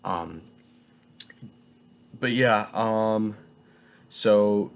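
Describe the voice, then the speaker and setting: A man's voice: on the low side, extremely slow, gravelly, clean tone. A young man casually reviewing a book, getting over a cold, talking in a hesitant, rambling way full of filler words.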